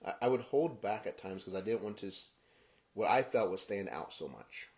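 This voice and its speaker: A man raised in Kenya, speaking in a halting, reflective personal monologue that often restarts phrases and trails off softly at the ends. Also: fairly low pitch, expressive. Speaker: podcast host.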